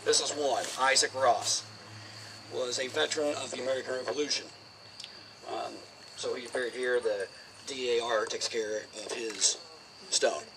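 A middle-aged man talks a group through a cemetery in a plain, casual, explanatory way, with a medium-pitched voice. He is recorded outdoors from a camera some way off. Faint crickets and open-air noise sit behind him. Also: fairly even intonation, normal speed, pauses often. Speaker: cemetery tour guide. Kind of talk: outdoor walking tour talk. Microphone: far camera, no mic.

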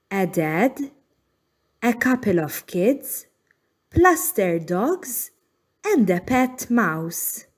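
A woman reading a children's picture book aloud as narrator, in deliberate, measured phrases with frequent pauses. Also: mid-range voice, a little mellow, husky. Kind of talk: scripted storybook narration for young children.

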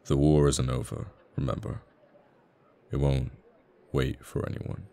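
Man acting very deep, flustered flirty fighter pilot. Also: vocal fry, a little mellow, exaggerated voice. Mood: earnest, devoted. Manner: halting, stammering, bashful. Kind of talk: romantic ASMR audio roleplay.

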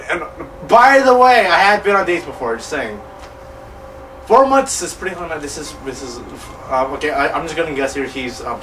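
A man's voice: medium pitch, pushed and bright, recorded from a distance, a little hiss or hum, low-quality recording. A young man co-hosting a couch let's play, joking, swearing and laughing while reading game text aloud. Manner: jokey, profane, laughs often, reads lines aloud. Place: living room, on a couch.

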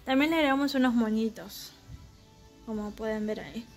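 A woman's voice: a little high, normal speed, soft background music. A young woman chatting casually, meandering and filler-heavy, while showing her home decorations on a handheld phone. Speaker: vlogger. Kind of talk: casual home tour vlog. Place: small home living room. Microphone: handheld phone camera.

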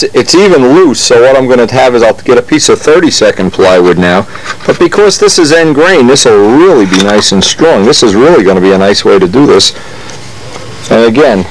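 A man's voice: mid-range voice, a little hiss or hum, rough recording. An older man, a model airplane builder, talks casually while working at his hobby workshop bench, often breaking off mid-sentence and correcting himself.

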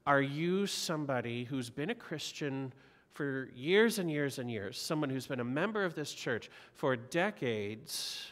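Middle-aged male pastor, earnest exhorting sermon, slightly high voice, echoing church hall. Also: fairly bright tone. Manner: earnest, exhorting, uses repeated rhetorical questions. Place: large church sanctuary. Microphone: pulpit mic. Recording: long reverb of a church hall.

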